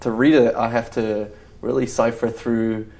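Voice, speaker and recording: A man from Scotland with a softened Scottish accent, a stage actor chatting easily in an interview. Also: mid-range voice, flat delivery, unhurried, creaky. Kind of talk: casual interview chat.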